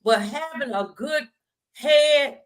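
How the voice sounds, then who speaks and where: A woman's voice: high voice, slow. An American woman hosting a faith-based talk show, giving earnest, emphatic advice in a conversational way. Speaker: talk show host, minister.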